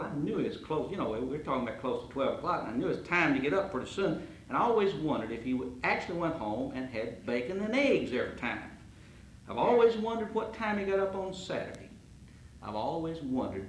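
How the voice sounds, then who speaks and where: A man's voice: medium pitch, slight background noise. A man acting as emcee at a roast, telling folksy stories about the coach and introducing speakers. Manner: folksy, reminiscing. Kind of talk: roast speech and reading a proclamation. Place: banquet hall. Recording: echoey banquet hall.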